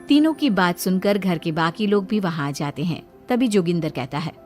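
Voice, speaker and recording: A woman's voice: on the low side, expressive, moderate pace, darkish tone, faint music behind. A woman storyteller reading scripted narration for a cartoon story.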